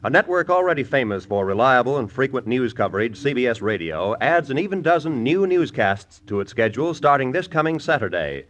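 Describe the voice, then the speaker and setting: A man's voice: mid-range voice, sing-song, brisk, few pauses, a bit bright. A man announcing for old-time network radio in a polished, formal, promotional style.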